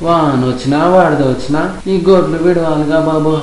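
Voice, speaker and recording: A man's voice: on the high side, fairly even intonation, normal speed, a little mellow, close to an everyday voice. A man playing a poor, deferential village labourer in a moral story, humble and plaintive, with colloquial rural Telugu speech. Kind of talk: moral story audio drama. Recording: music behind the voice.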